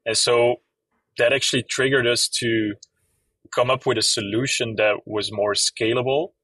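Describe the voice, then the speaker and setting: A man's voice: medium pitch, fairly even intonation, normal speed, a bit bright, dry recording, recorded from a distance. A young man from Belgium with a Flemish accent, explaining his work in a casual interview with many fillers. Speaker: app company co-founder, guest.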